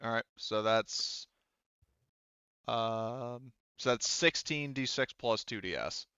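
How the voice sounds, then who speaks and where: A man's voice: medium pitch, takes it slowly, sharp tone, clear, resonant tone, no room sound. Dull-sounding man running a tabletop game. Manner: casual, salty-tongued. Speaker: game master. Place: online voice session.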